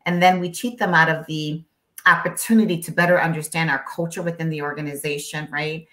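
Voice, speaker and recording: A woman's voice: deep voice, steady tone, a little fast, sharp tone. A woman whose first language is Spanish, an interpreter, explaining emphatically as a guest on a video call with many rhetorical questions. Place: home office. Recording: echoey room on video call.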